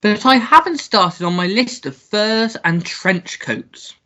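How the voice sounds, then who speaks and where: Woman playing a foppish, swooping English gentleman. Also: mid-range voice, expressive, takes it slowly, highs cut off. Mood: pompous. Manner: pompous, theatrical, babyish gushing. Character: foppish, childish, upper-class English gentleman hero. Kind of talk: comic melodrama radio play.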